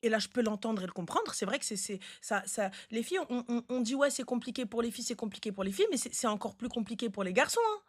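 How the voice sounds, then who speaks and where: A very high-voiced woman hosts a live advice chat in a close, dry sound. She is blunt and insistent, repeating herself to press her point as she talks casually to callers. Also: fast talker, hardly any pauses, no room sound. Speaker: live stream host giving relationship advice.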